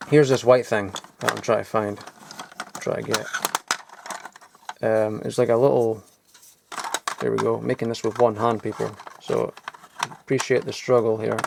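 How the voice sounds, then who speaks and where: A man talks his way through a pile of old toys in an offhand, rambling voice, trailing off into mumbled asides and tossing in casual swears. His pitch sits in an ordinary middle range, and a faint hiss runs under the recording. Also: gravelly. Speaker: toy collector vlogger. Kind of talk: casual off-the-cuff commentary while sorting toys. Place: cluttered loft.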